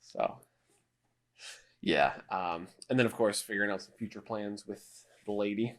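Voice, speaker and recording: A thirty-year-old man with a lowish voice chats casually on a podcast. He sounds laid-back and wry, and he laughs often between his remarks. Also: moderate pace, a bit bright. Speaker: podcast co-host.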